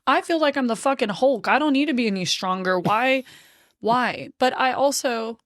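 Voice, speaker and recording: A woman's voice: on the high side, moderate pace, no echo, studio quality. A woman in her late thirties telling her story on a podcast in a blunt, profane way, laughing often. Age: 30s. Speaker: podcast host. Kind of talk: casual storytelling chat.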